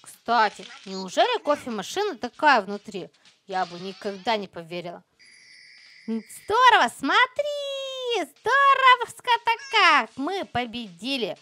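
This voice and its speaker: High, animated woman playing along for kids. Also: takes it slowly, soft background music. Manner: exclamatory, chatty, addresses the young viewer directly. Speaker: host of a children's gaming channel. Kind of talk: playful game play-along commentary for children.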